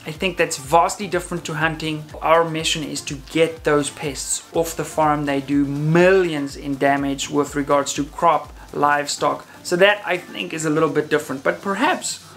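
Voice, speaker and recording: A man's voice: on the high side, a bit bright. Man in his thirties, casual talk over music. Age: 30s. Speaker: host of a shooting channel. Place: indoor room, talking to camera.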